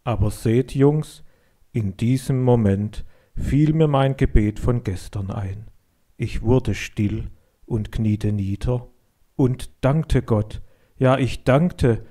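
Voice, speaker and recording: A man reading a scripted children's story in an expressive, deliberate storytelling manner. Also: mid-range voice.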